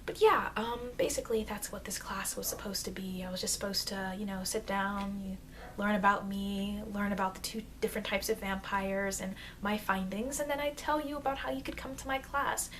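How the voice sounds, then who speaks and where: A woman's voice: mid-range voice, steady tone, brisk, lightly acted. A woman playing a friendly, flustered, eager vampire girl in an ASMR roleplay, speaking in an awkward, hesitant, rambling way. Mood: excited, chatty. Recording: noisy amateur microphone, background hiss.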